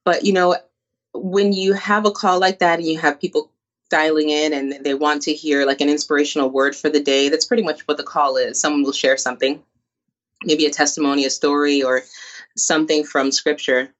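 An upbeat woman tells her story as an interview guest. She talks with quick asides, and her voice comes through slightly muffled. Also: low voice, level tone. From born in Colombia, raised in New Jersey. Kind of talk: casual interview conversation.

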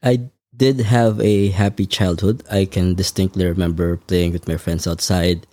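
A man from the Philippines, answering interview questions thoughtfully and with frequent pauses. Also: low voice, little pitch movement, darkish tone, no echo. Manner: measured, thoughtful, frequent ums. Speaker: podcast guest. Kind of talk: conversational interview.

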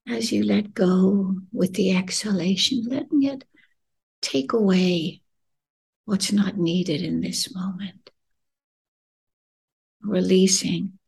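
A woman leading a guided meditation in a gentle, soothing, breathy voice that often drops near a whisper, unhurried with long pauses. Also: medium pitch. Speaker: podcast host and meditation guide.

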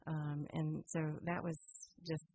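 A woman's voice: sits low, little pitch movement, slow, dark tone, husky, no room sound. A woman from a radio drama troupe, chatting casually in an interview. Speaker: radio players member. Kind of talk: casual conversation.

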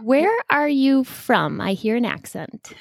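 A woman's voice: on the high side, sing-song, slow, creaky, no echo, clean studio sound. A woman hosting a podcast, speaking personally to listeners and putting questions to a guest. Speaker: podcast host. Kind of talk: personal podcast introduction and interviewing.

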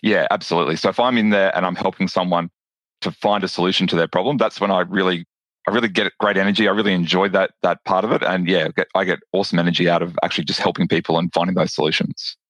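Low-voiced Australian man, dry sound. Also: fairly even intonation, fast talker, fairly bright tone, no room sound. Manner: enthusiastic, with frequent restarts and repeated words. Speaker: podcast guest, lawyer and business owner. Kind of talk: casual interview chat.

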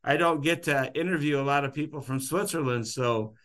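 A man's voice: a little high, fairly quick, fairly bright tone. A man hosting a podcast interview, talking in a loose, rambling, unscripted way. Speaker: podcast host. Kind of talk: casual interview chat.

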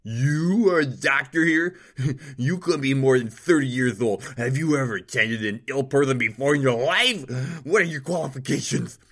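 A man's voice: mid-range voice, normal speed, voice pushed up. A man voicing a rude, pompous nobleman who sneers and blusters at everyone. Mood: blustering. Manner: shouting, sneering, contemptuous.

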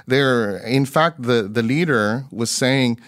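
A man recounting an anecdote as an interview guest, halting and repeating words as he searches for the next one. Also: mid-range voice, fairly bright tone, no echo, clean studio sound. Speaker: guest, mission broadcaster. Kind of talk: conversational anecdote.

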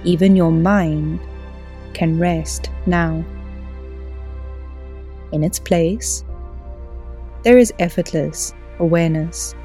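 A woman guides a relaxation meditation at a very slow, unhurried pace. Her voice is mellow and fairly low, and she gives soothing, gentle instructions over music. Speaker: yoga teacher and podcast host.

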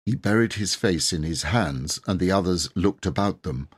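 A man narrating a children's audiobook in a measured, expressive storytelling manner. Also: low voice, husky.

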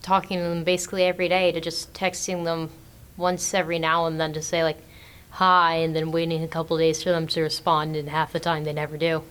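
A woman's voice: deep voice, flat delivery, fairly quick, a bit bright, clean tone. A teenage girl chatting tentatively as a panelist in a casual discussion. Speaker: teen book club panelist.

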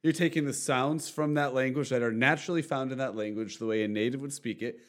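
A man's voice: medium pitch, fast. Host guy, mid voice, cracking up, cheering the guest on. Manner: delighted, laughing, encouraging. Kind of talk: casual interview chat.

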